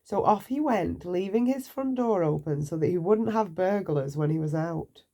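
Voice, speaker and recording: A woman narrating a children's bedtime story aloud, with deliberate, expressive phrasing for young listeners. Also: lowish voice.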